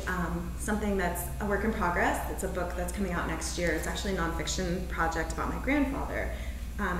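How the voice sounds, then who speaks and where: A woman in her thirties, a poet presenting and reading her literary work to a live event in a measured, deliberate way. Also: on the low side. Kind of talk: poetry and prose reading with short spoken introductions. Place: theater stage, indoors. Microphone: distant camera microphone. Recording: very echoey theater, distant, faint noise.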